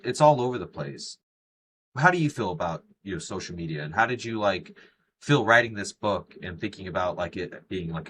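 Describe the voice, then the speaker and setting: A man's voice: low voice, a bit bright, slight background noise. An American man hosting a casual interview, speaking in an effusive, rambling way full of filler phrases. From United States. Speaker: podcast interviewer.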